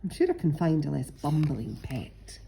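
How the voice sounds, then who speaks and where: A fed-up female storybook parrot, put on by a woman reader, exasperated and feisty. Her pitch swoops about in exclamations, with a soft, mellow edge to the tone. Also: wildly swooping pitch, normal speed, creaky, a little hiss or hum, heavily acted. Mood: disappointed. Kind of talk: picture-book character voice.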